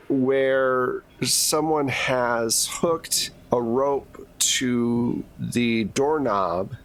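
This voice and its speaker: A man's voice: mid-range voice, poor audio. A man narrating a tabletop roleplaying game as game master, casual and given to joking asides.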